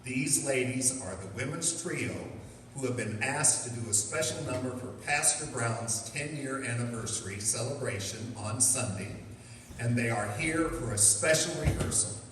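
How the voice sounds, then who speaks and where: A man narrating a scripted radio comedy as announcer, deadpan and deliberate, with mock solemnity. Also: medium pitch. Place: live performance in a reverberant hall. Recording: echoey hall, distant mic, loud noise.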